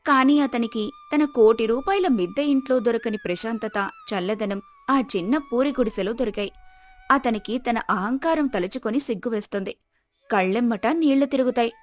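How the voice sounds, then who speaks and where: Woman narrating a moral story, voice a bit high, faint music behind her. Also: a little fast.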